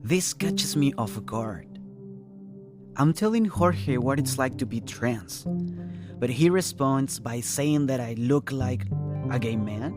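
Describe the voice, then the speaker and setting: A man's voice: medium pitch, animated, slow. A young man tells a first-person story as scripted narration in a reflective, conversational way. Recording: faint music bed behind. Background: soft music.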